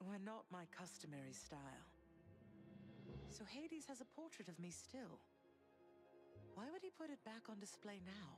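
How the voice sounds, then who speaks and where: An actress playing a gentle, wistful exiled goddess mother in a video game, speaking in a formal, measured, reserved way. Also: mid-range voice, very animated, lightly acted. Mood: guarded. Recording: music under the voice.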